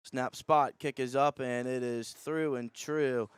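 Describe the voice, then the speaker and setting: A male radio announcer delivers live high school football play-by-play. His voice is clear and smooth at a medium pitch, with a close, dry sound. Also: steady tone, takes it slowly, dry recording.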